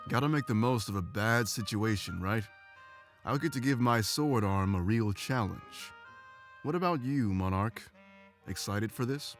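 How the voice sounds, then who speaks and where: A man's voice: on the low side, a little slow, close to an everyday voice. A man voicing a devoted, frank warrior beast-man who is eager for combat in a visual novel game. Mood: confident, eager. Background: game music.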